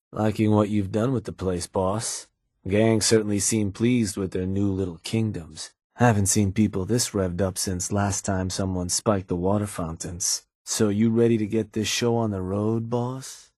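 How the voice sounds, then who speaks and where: An actor playing a sly, cynical gang advisor in a video game, with a husky, laid-back, slangy delivery and an undertone of menace. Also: male voice, fairly even intonation, a little slow, darkish tone, no room sound, clean studio sound. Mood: casual, menacing. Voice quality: husky, low. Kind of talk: video game character dialogue.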